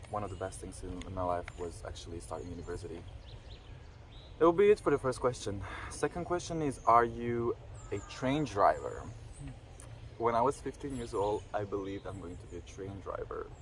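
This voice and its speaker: Young man, 21, very low voice, faint noise behind, casual vlog, hesitant. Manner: hesitant, with frequent fillers and restarts. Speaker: vlogger. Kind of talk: casual reflective vlog talk.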